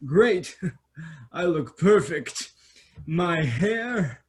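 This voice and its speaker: An actor playing a vain, self-absorbed young prince in a children's fairy-tale stage reading. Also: male voice, fairly high pitch, very animated, very slow, heavily acted. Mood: boastful.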